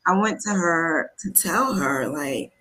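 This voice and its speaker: Woman with a lowish voice doing a casual storytime vlog, chatty, circles back a lot. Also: expressive, takes it slowly, pushed and bright, poor audio. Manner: conversational, backtracking, acts out quoted dialogue. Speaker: storytelling vlogger.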